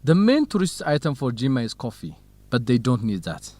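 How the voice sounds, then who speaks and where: Warm young student in a radio drama, super expressive pitch, dry sound. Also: male voice, fairly quick, no room sound. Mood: helpful, friendly. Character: warm, hospitable young Ethiopian man, student researcher. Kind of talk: scripted educational radio drama dialogue.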